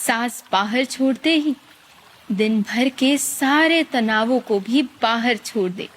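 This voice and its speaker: A woman guides a meditation, giving calm, gentle instructions with long pauses. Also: on the high side.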